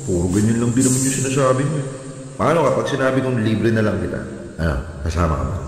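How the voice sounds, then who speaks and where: A man's voice: medium pitch, animated, quick pace, natural voice. An actor playing a pushy, jovial male buddy in a Filipino radio drama. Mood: teasing, persistent. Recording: very echoey hall.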